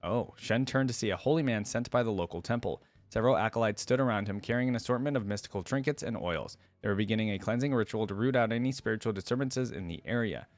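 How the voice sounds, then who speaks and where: Low-voiced man, dry close sound. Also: fast, few pauses, dry recording. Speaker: reaction video host reading lore aloud. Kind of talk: casual commentary mixed with reading story text aloud.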